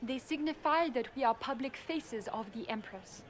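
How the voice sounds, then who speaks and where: A woman's voice: high voice, animated, moderate pace. An actress playing an eager, fawning lady-in-waiting in a fantasy game, formal and effusive.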